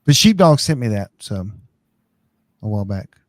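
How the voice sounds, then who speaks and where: A man's voice: lowish voice, sing-song, normal speed, no echo. A man with an American accent hosting a casual live stream, rambling through tech advice.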